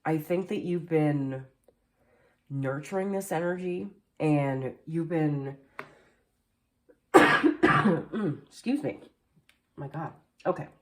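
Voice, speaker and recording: A woman giving a casual tarot card reading, chatty and rambling with lots of fillers, a little nasal and hoarse from allergies. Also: sits low, slow, long pauses. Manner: chatty, rambling with many fillers, occasional coughs. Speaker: tarot reader. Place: indoors at a table at home. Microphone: camera facing the table, speaker mostly off screen.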